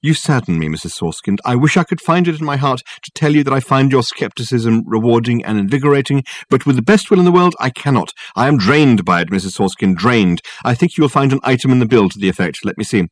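A man's voice: medium pitch, lively intonation, fast, few pauses, no echo, lightly acted. A man voicing a grandiloquent, unflappable male detective who talks soothingly and floridly. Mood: soothingly pompous. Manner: florid, soothing, mock-sorrowful.

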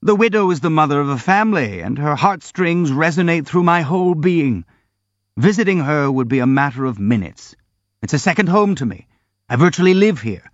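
Blustering elderly general, grand and self-pitying, raised pitch, dry and muffled recording; male reader voicing. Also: animated, normal speed, no room sound, close to an everyday voice. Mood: pompous. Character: elderly blustering boastful army general, pompous.

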